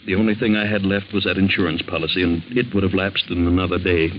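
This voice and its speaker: An actor playing a ruined middle-aged father in a 1940s radio drama, speaking gravely and earnestly. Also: low voice, fairly even intonation, quick pace, a little hiss or hum, lightly acted. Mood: grave, confessing. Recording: dull old 1940s radio recording.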